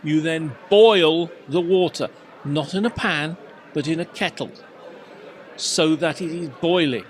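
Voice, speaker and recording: A man's voice: a little high, measured pace, slight background noise. A middle-aged man with an English accent, chatting casually as a podcast host in an emphatic, mock-pompous way. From England. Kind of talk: casual anecdotes and mock-instructional chat.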